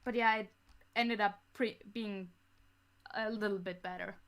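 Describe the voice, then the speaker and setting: A woman chatting casually as a podcast guest about their writing, halting and giggly as they go. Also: mid-range voice, fairly even intonation, a bit bright. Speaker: guest writer of a fan audio drama.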